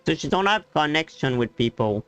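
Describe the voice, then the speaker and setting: A man giving casual live game commentary as a streamer, explaining things haltingly in non-native English grammar. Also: fairly high pitch, animated, fairly bright tone, smooth, rough recording. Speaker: gaming streamer. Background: faint game music.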